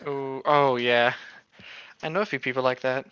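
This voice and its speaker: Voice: mid-range voice, fairly even intonation, normal speed, a bit bright, clear, resonant tone, no room sound, muffled recording. A co-host reading fan fiction aloud and chatting casually and jokingly about it.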